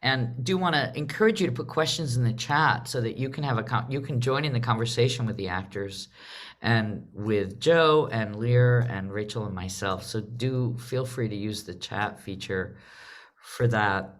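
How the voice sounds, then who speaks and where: A woman moderating a live online discussion about theater, speaking in a measured, reflective way. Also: extremely low pitch.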